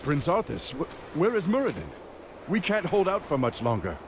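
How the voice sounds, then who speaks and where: A man's voice: on the high side, huge pitch swings, normal speed, background noise. A man playing an anxious army captain, urgent and deferential, in a fantasy video game. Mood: worried. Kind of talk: dramatic video game cutscene acting.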